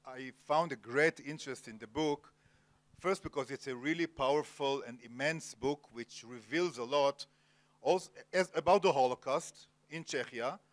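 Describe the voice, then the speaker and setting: A man moderating an onstage literary interview, speaking formally with many hesitations. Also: mid-range voice. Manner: formal, many filler pauses. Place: cultural center event hall, Jerusalem.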